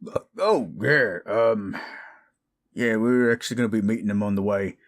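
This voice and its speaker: A man playing a gruff, weary but good-hearted orc adventurer in a fan audio drama, blunt and grumbling. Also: lowish voice, moderate pace, close to an everyday voice. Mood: hesitant.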